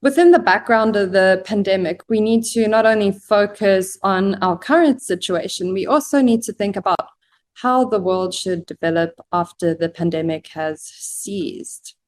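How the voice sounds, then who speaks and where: A young adult woman hosting a panel discussion, speaking in a formal, measured way. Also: medium pitch. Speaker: host.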